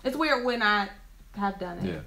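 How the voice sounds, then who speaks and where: A young woman co-hosting a casual couple chat, talking loudly and excitedly in a high-pitched voice, recorded in a small room. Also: measured pace, creaky. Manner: aggressive talker. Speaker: co-host.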